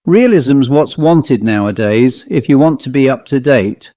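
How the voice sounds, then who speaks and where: A man voicing a large, boring travelling salesman, pompous and earnestly dull, with a flat, long-winded delivery in a story reading. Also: mid-range voice, measured pace, dry recording, natural voice. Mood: pompous, holding forth.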